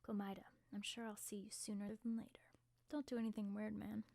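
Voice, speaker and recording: A woman voicing a brash young female gymnast in a casual, slangy, breathy whisper for an ASMR roleplay. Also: mid-range voice, little pitch movement, fairly quick, dark tone, no echo. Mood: cocky.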